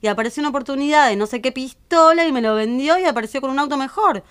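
Bright, high-voiced Argentine friend. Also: female voice, expressive, fast. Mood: indignant chatter. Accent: Argentine Rioplatense. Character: playful, chatty separated woman friend. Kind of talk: naturalistic audio fiction dialogue.

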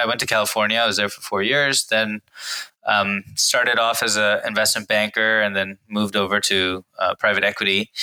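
Guy in his 30s, bright forceful voice, laid-back, lots of ums and restarts. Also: fairly low pitch, smooth, rough recording. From India, raised in Indonesia. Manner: relaxed, laughs easily, many fillers and restarts. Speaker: guest, gaming executive. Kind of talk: casual interview answers.

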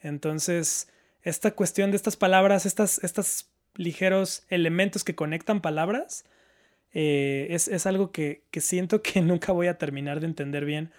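A man's voice: fairly high pitch, moderate pace. A man chatting casually and reflectively, repeating words as he searches for the right phrasing. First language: Spanish. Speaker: panelist, learner of Japanese.

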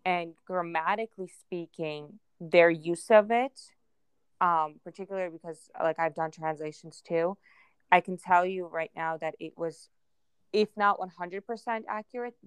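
A woman's voice: on the low side, clean tone, dry recording. A woman from Ukraine whose first language is Russian, co-hosting a casual podcast chat in an earnest, circling way with many fillers. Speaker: podcast co-host.

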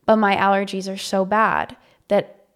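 A woman co-hosting a podcast in casual chat, a bit congested and nasal, self-deprecating and quick to laugh at herself. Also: medium pitch, level tone. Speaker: podcast co-host. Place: home podcast setup.

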